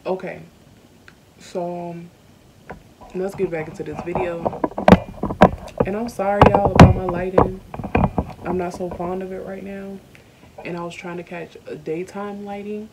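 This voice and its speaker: A young woman from the South, candidly telling a personal story on her vlog, earnest and slowed by emotion. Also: fairly low pitch, flat delivery, very noisy. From Southern United States. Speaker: YouTuber. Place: indoors at home.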